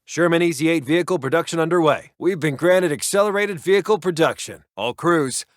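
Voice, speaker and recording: A man acting as an American wartime intelligence officer for a strategy game. His voice sits slightly high for a man and is recorded very dry. He delivers lines in a clipped, authoritative, by-the-book military manner. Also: a little fast, no room sound, close to an everyday voice. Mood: brisk, official. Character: brisk, commanding male American WWII intelligence officer. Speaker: video game military announcer. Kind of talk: scripted war game unit voicelines.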